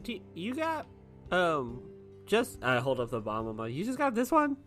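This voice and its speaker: Bright, lively, halting woman playing a game. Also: lowish voice, soft background music. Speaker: tabletop game player. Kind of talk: casual chat in actual play.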